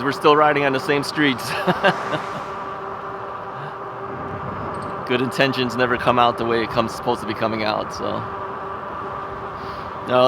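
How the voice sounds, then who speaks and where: A man's voice: medium pitch, creaky. A man vlogs while riding an ebike on suburban streets. He talks casually and rambles, chuckling often and thinking aloud into a camera mounted on the bike. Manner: rambling, chuckling, self-questioning. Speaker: vlogger. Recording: traffic and wind noise outdoors.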